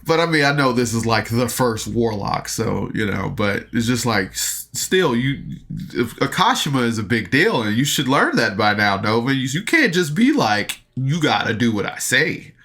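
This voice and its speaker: A man's voice: medium pitch, very animated, normal speed, sharp tone. A man hosting a review podcast, casually recapping an audio drama in an informal, rambling way.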